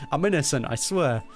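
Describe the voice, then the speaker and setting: Casual joking male gamer, music behind. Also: on the high side. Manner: joking, slangy, laughs easily. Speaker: gamer reacting on video. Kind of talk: casual game reaction commentary.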